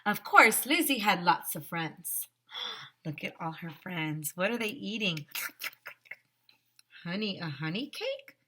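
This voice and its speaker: A woman's voice: on the low side, sing-song, takes it slowly, pauses often, pushed and bright, a little hiss or hum. A woman teacher reading a picture book aloud to young children, expressively, with exaggerated emphasis and questions put straight to the listeners. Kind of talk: animated storybook read-aloud with asides and questions to young listeners.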